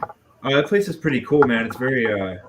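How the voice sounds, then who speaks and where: A man's voice: mid-range voice, animated, a little fast, noisy background. A man chatting casually as a guest musician in an interview, laid-back and self-deprecating. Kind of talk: casual chat, being interviewed.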